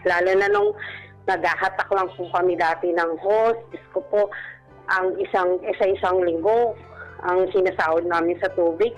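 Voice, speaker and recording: A Filipino woman with a slightly low voice tells a personal story as a radio caller. She speaks politely and deferentially. Soft music plays underneath. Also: lively intonation, normal speed, poor audio. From Philippines. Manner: polite, deferential, using respectful particles. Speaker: caller telling their life story. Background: background music.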